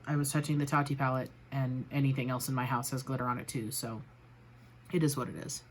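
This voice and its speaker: A woman vlogger chatting casually through a makeup tutorial, a little raspy from a cold, narrating each step with self-deprecating remarks. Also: a bass voice, little pitch movement, fast, a little hiss or hum. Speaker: beauty vlogger.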